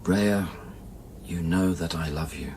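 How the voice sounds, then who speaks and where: An actor playing a grave, loving man in anguish in a radio drama, speaking in a low, measured, intimate way. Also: darkish tone, slight background noise. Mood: hushed, tender. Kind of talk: radio drama acting, first-person narration and dialogue. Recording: music under the voice. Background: music.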